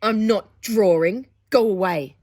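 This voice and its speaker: A woman voicing a frustrated, snappy young boy from a picture book, with loud, emphatic, curt delivery. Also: medium pitch, slow. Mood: angry, snapping.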